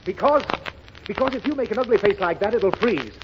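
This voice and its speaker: Exasperated father, blustering, impatient, very animated pitch, 1930s radio comedy, noisy muffled vintage broadcast. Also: fairly quick. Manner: flustered, impatient scolding.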